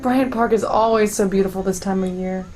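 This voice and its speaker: A woman's voice: mid-range voice, steady tone, a little fast, darkish tone, faint music behind, lightly acted. An actress playing a tender, concerned woman in love, in a radio play. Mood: tender, hesitant.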